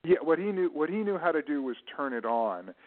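A middle-aged man answering as an interview guest, reflective and measured, choosing precise words with pauses. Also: fairly high pitch, very animated, a loud crowd. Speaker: interview guest, writer and publishing professional. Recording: narrow, muffled phone-like audio.

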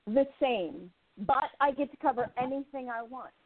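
A woman's voice: high voice, lively intonation, normal speed, slightly rough, exaggerated voice. A woman playing an independent young newspaperwoman of the 1920s in a radio play, with an earnest, emphatic delivery. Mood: businesslike. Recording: tinny phone-like line, roomy echo.

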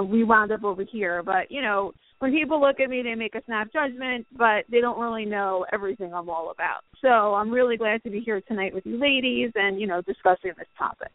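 A woman's voice: medium pitch, no echo. A woman from New Jersey speaking as a panelist on a call-in radio show, friendly and reflective. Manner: friendly, reflective, many fillers. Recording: dry narrow phone line.

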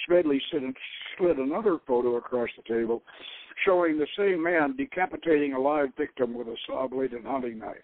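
A man's voice: mid-range voice, moderate pace, dry recording. Man narrating over a thin phone-like line. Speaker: host reading his own novel. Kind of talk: dramatic narration.

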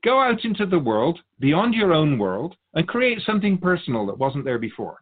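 Husky, phone-thin wise spirit guide played by a man. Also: on the high side, big pitch swings, normal speed, phone-quality sound. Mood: thoughtful, encouraging. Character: otherworldly spirit Keeper, wise, patient observer of humankind. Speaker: guest sage on a radio show. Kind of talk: conversational philosophical teaching.

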